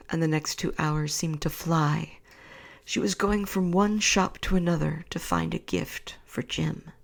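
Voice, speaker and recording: A young woman reading a bedtime story aloud in a soft, half-whispered voice. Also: on the low side, creaky.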